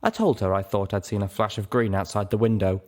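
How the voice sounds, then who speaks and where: A man's voice: on the low side, a little fast, a little mellow. A man narrating a story in the first person as a dramatic scripted reading. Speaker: story reader.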